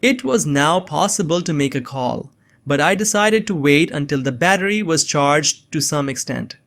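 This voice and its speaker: A man playing a nerdy, anxious young man on the run, narrating a suspense story in a measured, earnest way. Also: fairly high pitch, animated, a bit bright. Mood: tense, measured. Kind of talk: first-person scripted narration of suspense fiction. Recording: music behind the voice.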